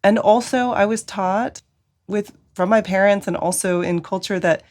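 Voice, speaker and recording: A woman co-hosting a podcast, telling a personal story in a gentle, hesitant way with pauses. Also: mid-range voice. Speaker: podcast co-host. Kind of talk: reflective conversational storytelling.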